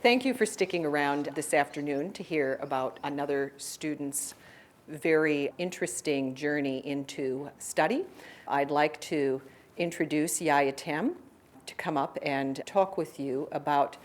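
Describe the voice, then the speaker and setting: A woman's voice: deep voice, unhurried. A woman from the university introducing a student and speaking admiringly of him in a documentary. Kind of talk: documentary interview and event introduction.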